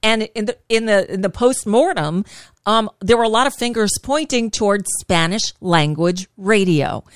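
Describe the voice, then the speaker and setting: A woman hosting a political interview, asking questions quickly with many stammering restarts. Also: mid-range voice, no room sound, clean studio sound. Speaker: interviewer, host.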